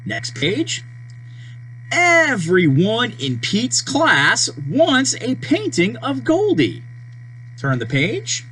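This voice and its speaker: A person reading a children's storybook aloud, with deliberate, simple phrasing and page-turn prompts. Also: medium pitch, rough recording. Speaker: storybook reader. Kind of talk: children's read-aloud narration. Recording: steady mains hum behind voice.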